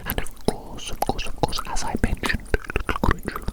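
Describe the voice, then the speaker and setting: A woman's voice: normal speed, lightly acted. A woman playing a grandiose evil doctor who is fond of a creature, musing theatrically and repetitively in formal words in an ASMR roleplay. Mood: fond, intrigued.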